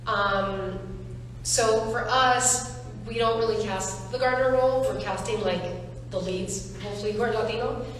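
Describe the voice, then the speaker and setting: A woman casting director speaking earnestly on a panel, pausing with fillers and restarting her thoughts. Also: mid-range voice, a little hiss or hum. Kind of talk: panel discussion. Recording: echoey hall, far from mic.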